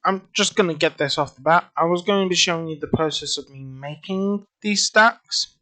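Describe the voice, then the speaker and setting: A man's voice: fairly high pitch, normal speed, bright, forceful tone. A man casually walking through a program on screen, chatty and rambling, his voice just back after losing it. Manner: chatty, rambling, self-correcting. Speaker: software tutorial creator. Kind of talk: casual screen-recorded walkthrough.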